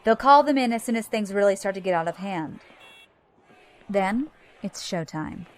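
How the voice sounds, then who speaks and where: A woman's voice: medium pitch, lively intonation, moderate pace, a little hiss or hum, natural voice. Woman acting a wry, cunning rebel captain. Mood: focused, poised. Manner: wry, matter-of-fact. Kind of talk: audio drama acting.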